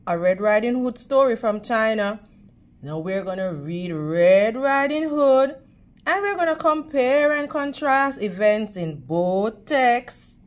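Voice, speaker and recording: Woman storyteller, children's read-aloud, teacherly, unhurried, expressive pitch, mid-range voice. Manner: teacherly, addressing children directly. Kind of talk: animated storytime narration with questions to children.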